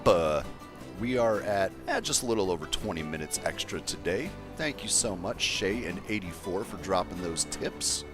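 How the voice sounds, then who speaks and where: A man with a deep voice casually chatting to his viewers as he builds in a game livestream, thinking aloud in an easygoing way. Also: lively intonation, normal speed, over music. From Colorado, USA. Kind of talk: casual gameplay livestream chat.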